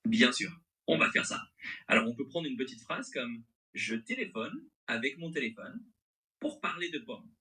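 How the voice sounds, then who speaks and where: A man's voice as a conversational AI assistant, very bright and crisp-edged, with lively, wide-moving pitch. The French accent is mixed, with an American-sounding intonation. The manner is agreeable and accommodating. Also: moderate pace, poor audio.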